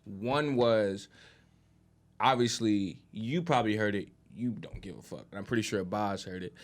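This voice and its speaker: A man's voice: fairly low pitch, normal speed. An American man hosting a podcast in casual slang, repeating his phrases for emphasis. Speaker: podcast host.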